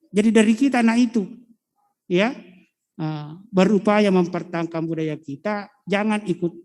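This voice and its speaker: A middle-aged man, a history teacher lecturing students in a fatherly way and pausing on fillers as he thinks. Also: high voice, a little mellow. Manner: fatherly, calls listeners child, hesitant fillers. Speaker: history teacher, guest speaker.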